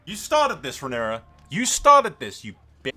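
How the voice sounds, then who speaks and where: A man's voice: fairly high pitch, huge pitch swings, moderate pace, bright, forceful tone, faint music behind, pitched above the performer's own voice, full character voice. A man roleplaying a proud, wrathful warrior prince who rides a dragon, declaiming defiantly in a fantasy game. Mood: furious challenge.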